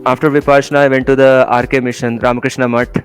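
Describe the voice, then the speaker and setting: A young man from India talking through his own story in a casual interview, halting with many fillers and small laughs. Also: mid-range voice, flat delivery, smooth, faint music behind. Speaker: guest.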